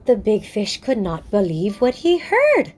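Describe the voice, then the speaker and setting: A woman from Hawaii hosting a children's storytime, expressive and encouraging, speaking colloquially to young listeners. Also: medium pitch, a little slow.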